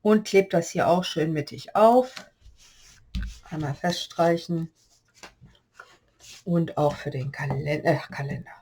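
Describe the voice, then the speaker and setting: A woman with a slight cold-hoarse voice, chatting through a step-by-step paper-craft tutorial and explaining the measurements as she works. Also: deep voice, slow, long pauses, a little mellow, slight background noise. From Germany.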